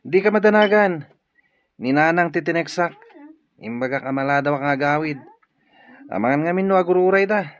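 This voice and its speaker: An actor as cocky young man, slightly high-voiced. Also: moderate pace, pauses often, no echo, natural voice. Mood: eager, teasing. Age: young adult. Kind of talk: Ilocano radio drama acting. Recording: muffled radio drama with music.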